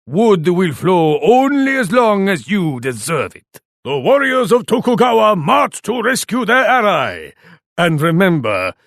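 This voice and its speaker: An actor playing a proud, commanding Japanese warlord in a video game, speaking in a haughty, formal, declarative way. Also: male voice, a little high, vocal fry, a bit bright, no echo, studio quality, exaggerated voice. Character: proud, imperious Japanese warlord who speaks of himself grandly. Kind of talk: dramatic video game voice acting.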